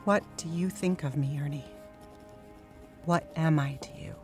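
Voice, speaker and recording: A woman's voice: deep voice, measured pace, a little mellow, faint music behind. A woman playing a troubled, hard-drinking wife, wounded and defiant, in a radio drama. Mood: pleading.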